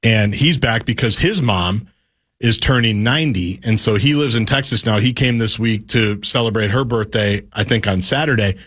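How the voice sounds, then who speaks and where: A man's voice: on the low side, normal speed, fairly bright tone. A male radio host in casual conversation, asking his guest questions with long, chatty setups.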